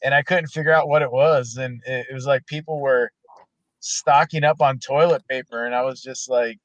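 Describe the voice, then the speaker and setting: A man talking on a video call, halting and restarting with repeated words. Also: mid-range voice, steady tone, no echo, dull audio. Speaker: veteran guest. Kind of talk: casual video-call chat.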